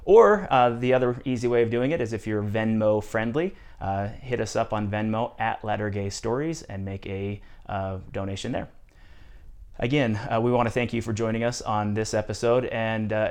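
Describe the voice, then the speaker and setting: Man, podcast host, lower voice, lots of uh fillers. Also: clean tone. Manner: many "uh" fillers, upbeat delivery. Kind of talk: podcast host intro and interview.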